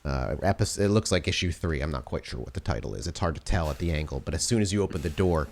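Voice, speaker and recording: A man's voice: a bass voice, lively intonation, fast, gravelly. A man improvising narration as the game master of a tabletop role-playing game.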